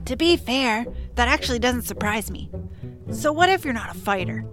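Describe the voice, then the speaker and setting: A woman's voice: fairly high pitch, lively intonation, moderate pace, close to an everyday voice. A woman playing a plucky female troll in an audio drama, chatty and encouraging. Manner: upbeat, encouraging. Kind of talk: audio drama character acting. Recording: music behind the voice.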